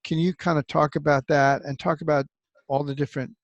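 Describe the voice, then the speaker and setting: A man's voice: fairly high pitch, little pitch movement, a little fast, dry recording, dull audio. A man interviewing a guest over a video call, asking conversational questions.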